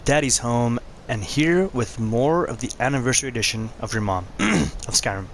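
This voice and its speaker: A man with a hoarse, worn-out voice hosting a casual gaming vlog, talking in a laid-back, conversational way. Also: medium pitch, moderate pace, a little hiss or hum, low-quality recording. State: hoarse, losing his voice. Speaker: channel host.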